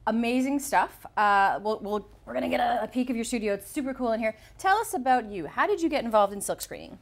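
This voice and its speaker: A woman's voice: a little high, lively intonation, fast talker, gravelly. A woman hosting an interview in an artist's studio, bubbly and playful, often laughing. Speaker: host interviewer. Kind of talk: casual interview.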